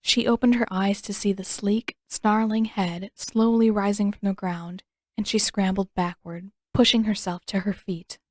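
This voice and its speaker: A woman's voice: medium pitch, fairly even intonation, normal speed, darkish tone, no room sound. A woman reading scripted fiction as an audiobook narrator.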